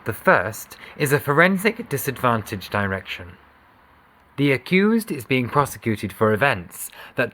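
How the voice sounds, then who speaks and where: A man giving a sober, measured, formal reading of a scripted legal document. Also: mid-range voice, a bit bright. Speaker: voice reader of a court judgment.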